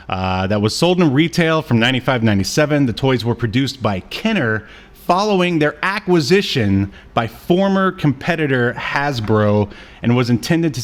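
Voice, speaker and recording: Man chatting casually as podcast co-host. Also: medium pitch. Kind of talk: casual media review chat.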